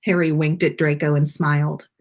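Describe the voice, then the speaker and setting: A woman's voice: sits low, flat delivery, moderate pace. A woman hosting a story livestream, reading aloud and chatting in a playful, informal way. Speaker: podcast host and story reader. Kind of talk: storytelling read-aloud and casual livestream chat.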